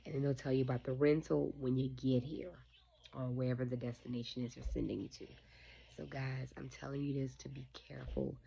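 A woman's voice: very deep voice, flat delivery, brisk, creaky, dark tone, a little hiss or hum, rough recording. A woman in her forties with a stuffy, sniffly voice, bluntly warning her viewers about a company while talking into a phone held close. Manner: blunt, indignant, addresses viewers directly. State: congested, sniffling. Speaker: vlogger. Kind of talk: casual talk to camera.

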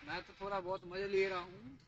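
A man's voice: high voice, fairly even intonation, fairly quick, lo-fi sound, noisy background. A young man from India chatting playfully to viewers while trying out outdoor gym machines in a park, recorded on a handheld phone. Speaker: vlogger. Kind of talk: casual vlog chat. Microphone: handheld phone camera, moving around.

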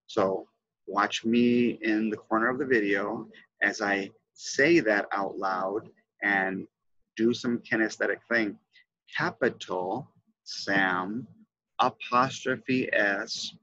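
A middle-aged man presenting an instructional lesson, stressing key words with deliberate, emphatic enunciation into a headset. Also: fairly low pitch, bright, forceful tone, dry recording, muffled recording. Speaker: teacher trainer presenting a lesson. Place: indoors, webcam presentation.

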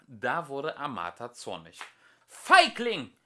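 Lively, bright-voiced man reading a fairy tale. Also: medium pitch, normal speed. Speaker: amateur audiobook reader. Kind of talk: expressive fairy-tale narration.

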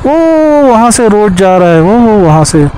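A man's voice: high voice, moderate pace, low-quality recording. A man vlogging a solo motorcycle trip, talking emotionally outdoors on a mountain road. He exclaims, repeats phrases and mixes English words into his talk. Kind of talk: emotional travel vlog commentary. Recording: loud road and engine noise outdoors.

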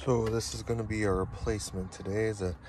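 A man's voice: fairly low pitch, flat delivery, quick pace, a little mellow. A man in his thirties, an HVAC technician narrating a repair outdoors while he works, plain-spoken with many fillers. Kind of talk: narrating a repair job on camera. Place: outdoors beside a home's heat pump. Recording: noisy outdoor job site with tool clatter.

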